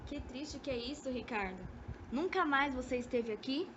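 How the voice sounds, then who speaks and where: A dull, noisy amateur recording of a young woman playing a wary Brazilian radio drama heroine. Her voice is high, and she sounds uneasy and hesitant. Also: normal speed, lightly acted. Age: young adult.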